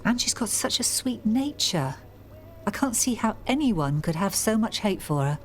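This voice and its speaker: A woman's voice: medium pitch, very animated, moderate pace, lightly acted, poor audio. An actress playing a kindly middle-aged English mother in a radio soap, plain-spoken and consoling. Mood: sympathetic.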